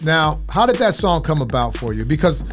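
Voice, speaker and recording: A man's voice: a little high, sing-song, moderate pace, over music. A man hosting a podcast, chatting casually and hyping up his guest. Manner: laid-back, hyping up the guest. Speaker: podcast host. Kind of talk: casual interview chat.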